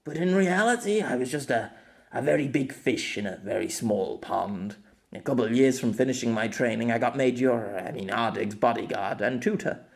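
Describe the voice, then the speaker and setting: A man's voice: medium pitch, normal speed, gravelly, lightly acted. A man voicing a cocky, teasing young former knight who speaks with wry self-deprecation in an amateur audiobook. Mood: wry, confiding.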